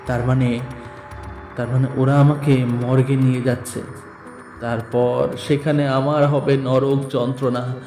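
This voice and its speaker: A man narrating a literary story dramatically in the first person, deliberate and theatrical, with drawn-out pauses. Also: mid-range voice, level tone, warm tone. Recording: echoey voice with music bed. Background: background music.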